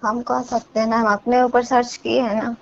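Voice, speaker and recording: Gravelly Bihari-accented woman, lo-fi call. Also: fairly high pitch, level tone, normal speed. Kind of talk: casual phone chat. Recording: dull lo-fi call recording, clear noise.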